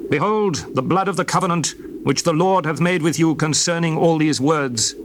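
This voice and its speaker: A man's voice: fairly high pitch, moderate pace, close to an everyday voice. A man playing a grave, authoritative male leader, declaiming formally in scripture drama. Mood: solemn, proclaiming. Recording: noisy old cassette tape.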